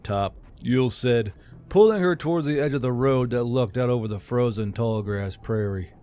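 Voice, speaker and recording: A man's voice: mid-range voice, normal speed, warm tone, clean tone. A man reading scripted fantasy fiction as an audiobook narrator. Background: faint music.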